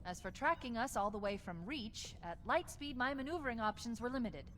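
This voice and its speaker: An actress playing a cool, witty, loyal shipboard AI, composed and precise, in a video game cutscene. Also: female voice, on the high side, animated, fairly quick, fairly bright tone, soft background music. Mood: cool, factual. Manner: composed, precise, dryly teasing.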